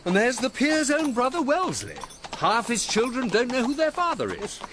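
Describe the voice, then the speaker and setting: Casting: wry, worldly cavalry-era staff officer, high lively voice, radio drama with horses and clear noise. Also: male voice, moderate pace. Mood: wry, gossipy. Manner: urbane, wry, well-spoken. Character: Fitzroy Somerset, worldly, gossipy gentleman officer, military secretary. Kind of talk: period radio drama acting. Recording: noisy outdoor scene with horses. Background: horses clip-clopping.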